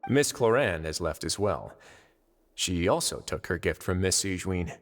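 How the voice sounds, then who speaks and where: An actor playing a wry, composed prison administrator in a video game, measured and courteous with dry sarcasm. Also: male voice, sits low, lively intonation. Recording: long reverb, music behind.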